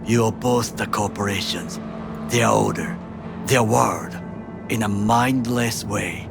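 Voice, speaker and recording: A man playing a proud, principled ex-soldier bodyguard in a video game, speaking formally and deliberately with a Japanese accent. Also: lowish voice, vocal fry. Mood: stern, proud. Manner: formal, measured, deliberate phrasing without slang. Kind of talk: video game cutscene acting. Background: music under the voice.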